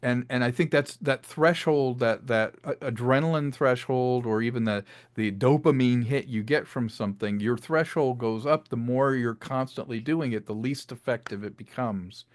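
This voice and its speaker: A middle-aged man, a director talking as a guest in a casual interview, animated and fond of acting out quotes. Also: medium pitch, normal speed, hardly any pauses.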